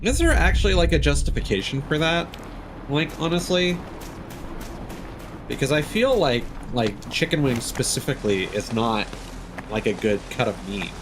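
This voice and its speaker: A man's voice: mid-range voice, expressive, moderate pace, noisy background. A man doing casual live commentary as a game streamer, musing aloud in rambling asides. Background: video game sound.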